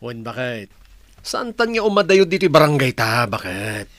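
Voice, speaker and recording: A man's voice: mid-range voice, big pitch swings, normal speed, a bit bright, no room sound. A man playing a sly, agreeable old village husband with a Filipino accent in a comedy radio drama.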